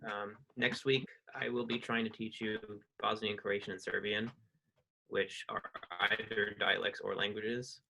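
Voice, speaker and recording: A man's voice: on the low side, flat delivery, unhurried, gravelly, sharp tone, slight background noise, low-quality recording. A young man organizing a student club, closing the meeting on a video call and announcing the next session in a loose, friendly way. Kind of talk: casual announcements.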